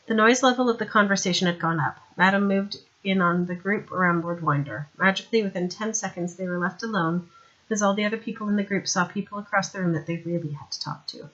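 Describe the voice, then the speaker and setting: A woman's voice: medium pitch, quick pace, a bit bright. Woman narrating a novel, slightly dull recording. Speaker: audiobook reader.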